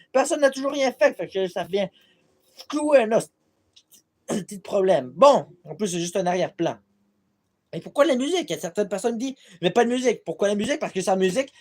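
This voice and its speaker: A man's voice: high voice, darkish tone. A young man from Quebec rambles through a casual vlog into his tablet's built-in mic. He drifts from one gripe to the next, swearing freely and running himself down. He sounds loose and unscripted throughout. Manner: rambling, swears a lot, self-deprecating. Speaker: vlogger. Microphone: tablet camera mic.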